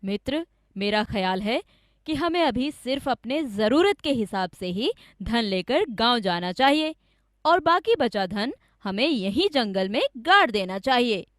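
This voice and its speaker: A woman's voice: a little high, very animated, unhurried, a bit bright, dry recording, clean studio sound. A woman voicing a scheming, greedy village man with an insistent, dramatic manner in a cartoon story. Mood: smooth, persuasive. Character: scheming greedy village man, falsely accusing his friend.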